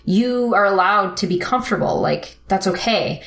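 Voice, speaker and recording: A woman's voice: medium pitch, expressive, moderate pace, creaky, a bit bright. A young woman telling a personal story in casual conversation, with lots of "like" fillers and frequent laughter. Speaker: interviewee. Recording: echoey room.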